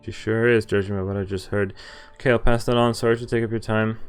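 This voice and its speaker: A man's voice: fairly low pitch, flat delivery, quick pace, clean tone. A man streaming a visual novel, reading its dialogue aloud and reacting casually in a jokey way with some swearing. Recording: music under the voice. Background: game music.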